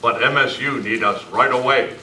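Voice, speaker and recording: Guy doing earnest western sidekick, slow broken english, sharp voice, echoey club room. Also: mid-range voice. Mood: matter-of-fact. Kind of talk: amateur old-time radio western acting. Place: club meeting room, far camera. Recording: roomy, distant camera sound with hiss.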